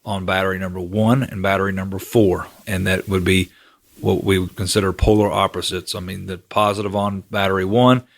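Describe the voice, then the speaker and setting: A man's voice: sits low, little pitch movement, fairly quick. A man co-hosting a podcast, explaining technical points steadily with frequent fillers. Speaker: co-host, general manager. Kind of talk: casual explaining.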